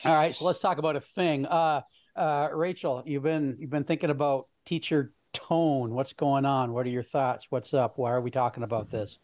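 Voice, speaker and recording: A man hosting a casual chat, joking and halting with restarts. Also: medium pitch, fairly even intonation, darkish tone. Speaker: host.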